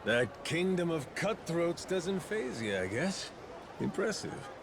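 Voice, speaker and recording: An actor playing a gruff, blunt, wry middle-aged pirate captain with a gravelly voice in a video game cutscene. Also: male voice, on the high side, sing-song, slow, noisy background, heavily acted. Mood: wry, easygoing. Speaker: lead character, captain.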